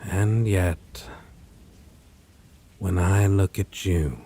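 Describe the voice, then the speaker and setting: Male actor for brooding vampire, audio roleplay: deep, breathy, theatrical, possessive, ornate delivery near a whisper, over faint rain and thunder. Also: dark tone, a little hiss or hum, heavily acted. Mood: longing, intense. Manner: formal, theatrical, ornate wording, lingering pauses. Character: possessive male vampire, menacing, wistful, aristocratic. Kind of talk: dramatic audio roleplay monologue to a listener.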